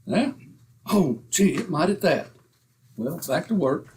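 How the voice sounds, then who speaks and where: A man's voice: on the high side, expressive, a little slow, background noise. A man playing a blustery, boastful middle-aged husband with folksy, slangy grumbling in an old-time radio comedy.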